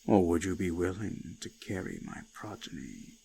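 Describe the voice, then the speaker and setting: A man playing an ancient eldritch forest god, menacing yet seductive, speaking in slow archaic phrases with hushed, breathy whispers. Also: low-pitched, very animated, a little hiss or hum, full character voice. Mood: seductive, coaxing. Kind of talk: scripted roleplay audio, slow dramatic monologue.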